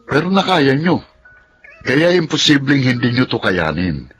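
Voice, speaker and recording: Filipino son over music. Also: male voice, medium pitch, sing-song, a little slow, a bit bright. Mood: encouraging. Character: encouraging adult son in a Filipino family. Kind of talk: Tagalog radio drama acting.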